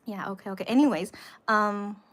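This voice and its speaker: An American woman hosting a casual livestream, rambling to viewers and repeating herself with animated emphasis, sounding somewhat tired. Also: mid-range voice, fairly quick. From United States. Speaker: livestream host, vlogger.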